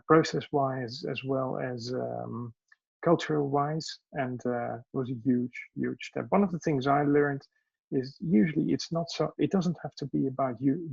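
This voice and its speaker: Dutch-accented man with a gravelly, husky voice. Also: mid-range voice, darkish tone, no room sound, highs cut off. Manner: hesitant, many fillers. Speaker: business owner introducing himself. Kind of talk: self-introduction in an online meeting.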